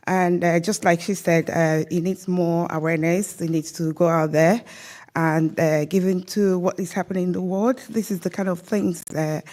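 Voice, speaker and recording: A woman's voice: on the low side, fairly even intonation, normal speed, darkish tone. A woman introducing herself at a seminar, hesitant and full of fillers. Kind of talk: speaking in a seminar introduction round.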